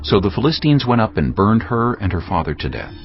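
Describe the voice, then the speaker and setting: A man's voice: on the low side, big pitch swings, brisk. A man narrating scripture in a dramatic, scripted style. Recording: dull lo-fi audio with music. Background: music.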